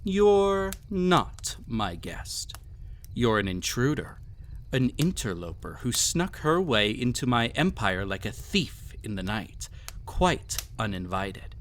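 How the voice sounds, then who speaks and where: A man's voice: medium pitch, very animated. A man playing a cruel, arrogant emperor in a scripted audio roleplay, speaking in a formal, mocking, condescending way. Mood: haughty pride. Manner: haughty, formal, mocking, deliberate theatrical phrasing. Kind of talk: scripted audio roleplay, villain monologue addressed to listener.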